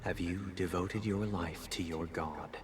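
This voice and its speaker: An actor playing a solemn, devout young priestly inquisitor in a video game, calm, controlled and ceremonial. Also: male voice, low voice, background noise. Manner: ceremonial, deliberate, formal. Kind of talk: scripted video game acting.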